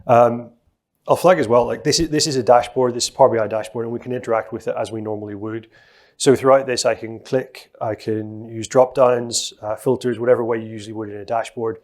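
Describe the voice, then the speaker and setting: A middle-aged man speaks into a lectern microphone at a conference, walking the audience through a live software demo. His voice sits at an ordinary mid pitch, and his pace is steady and explanatory, with frequent fillers. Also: a little fast. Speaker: presenter giving a software demo.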